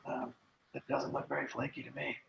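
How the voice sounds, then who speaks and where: Gravelly man hosting a video meeting. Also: mid-range voice, flat delivery, brisk, noisy background. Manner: rambling with many fillers. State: losing his voice, clearing throat. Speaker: meeting host. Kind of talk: casual meeting chat, screen sharing. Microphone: video call. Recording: echoey lo-fi video call.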